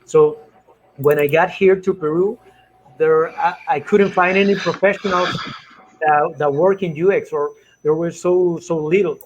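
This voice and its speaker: A man's voice: a little high, unhurried, lo-fi sound, slight background noise. A man from Chile, in his thirties, speaking as a guest on a video call through a headset from a small room at home, telling his story loosely and easily. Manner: relaxed, loosely phrased storytelling. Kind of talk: casual online talk.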